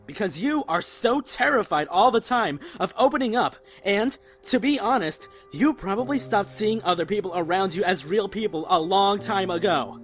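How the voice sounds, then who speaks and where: A man playing a caring, earnest young survivor in a zombie apocalypse audio drama, mild-mouthed and gentle but stubborn. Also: high-pitched, animated, moderate pace, few pauses, soft background music. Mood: pleading, firm. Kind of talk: fan audio drama acting.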